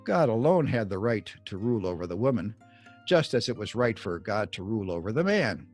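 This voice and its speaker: A man reading scripted Bible teaching like an audiobook author, deliberate and emphatic, with measured pauses. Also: lowish voice, big pitch swings, darkish tone, soft background music. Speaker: author reading own book excerpt.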